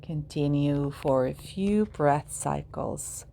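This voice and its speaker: A woman leading a guided yoga nidra meditation in a soothing, measured way, leaving long pauses between short phrases. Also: low-pitched, gravelly, dark tone, slight background noise.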